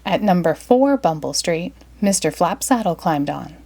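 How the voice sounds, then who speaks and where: A woman reading a children's picture book aloud in an expressive, dramatic storytelling style. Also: fairly low pitch, moderate pace, creaky. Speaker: storybook reader.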